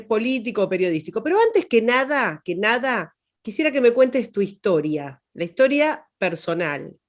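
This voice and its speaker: Woman hosting an interview. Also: fairly high pitch. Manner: stammers on starts, uses voseo. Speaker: interview host. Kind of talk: interviewing a guest.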